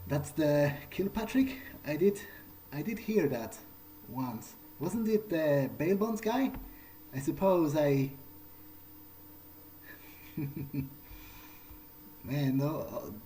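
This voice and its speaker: A man thinking aloud in character during a game playthrough, musing and halting as if talking to himself. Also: fairly high pitch, big pitch swings, dark tone, slight background noise. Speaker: gameplay video player.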